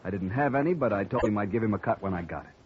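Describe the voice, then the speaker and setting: A man's voice: lowish voice, animated, racing, natural voice. An actor playing a nervous male schemer in a 1950s radio drama, talking earnestly and pleading. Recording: dull old radio broadcast, faint hiss.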